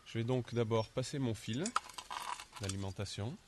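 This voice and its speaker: A man calmly narrating a home improvement job step by step, describing each action as he goes. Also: mid-range voice, moderate pace, noisy background. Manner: methodical, explains each step in first person. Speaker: DIY instructor.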